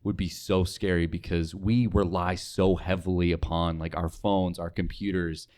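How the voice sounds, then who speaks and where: Young guy with a low voice, just chatting on a podcast, informal, thinking out loud. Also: little pitch movement, normal speed, smooth. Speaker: podcast guest, radio sales associate. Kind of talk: casual podcast chat.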